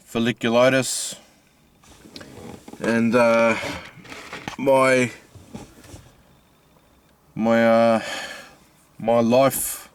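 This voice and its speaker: An Australian man with a slightly mixed accent from his Italian family talks slowly and haltingly, with lots of fillers and restarts. His voice is smooth and clear at a middle pitch. He records casually from inside his car. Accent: Australian with Italian-family influence. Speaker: vlogger. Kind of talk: casual personal vlog.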